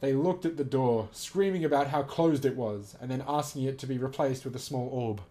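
A man's voice: mid-range voice, moderate pace, warm tone. A man reading a story aloud casually with amused, incredulous commentary, often laughing and swearing as he goes. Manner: giggly, laughs through his reading.

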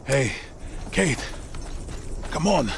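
An actor playing a big, good-natured, slightly unsure trapper in a Western video game, with a gravelly, folksy delivery. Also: male voice, mid-range voice, animated, extremely slow, slight background noise, heavily acted. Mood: eager, unsure. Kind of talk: video game cutscene acting.

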